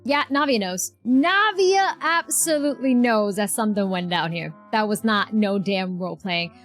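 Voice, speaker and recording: A woman's voice: mid-range voice, moderate pace, a bit bright. A woman streamer giving casual, gushing, slangy live reactions to a game. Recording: game music behind the voice.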